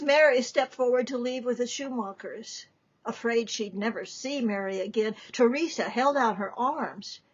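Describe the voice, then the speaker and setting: A woman giving a dramatic reading of a story, deliberate and theatrical, with emphatic pauses. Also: on the high side, slightly rough, muffled recording. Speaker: author reading from own book.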